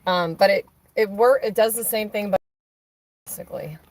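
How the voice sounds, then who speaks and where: A woman with a hoarse, raspy voice chatting casually on a live stream, rambling with many fillers and restarts. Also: mid-range voice, moderate pace, darkish tone, low-quality recording. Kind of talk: casual chat on a phone call during a stream.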